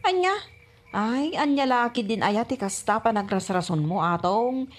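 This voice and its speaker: A woman's voice: on the high side, animated, moderate pace. A woman with an Ilocano accent playing an earnest, insistent wife and mother in a comedy radio drama. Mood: scolding. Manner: scolding, insistent.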